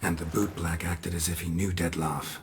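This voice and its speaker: An actor playing a gruff, laconic monster hunter in a video game, with a gravelly, hushed voice and deadpan, clipped delivery. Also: male voice, deep voice, moderate pace, slight background noise, natural voice. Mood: grave, pensive. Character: gruff, laconic male monster-hunting mercenary, world-weary and unflappable. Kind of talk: video game cutscene acting.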